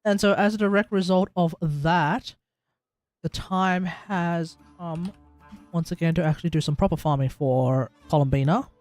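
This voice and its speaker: Male game streamer, Australian, fairly high voice, casual live chat, faint music underneath. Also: moderate pace, darkish tone.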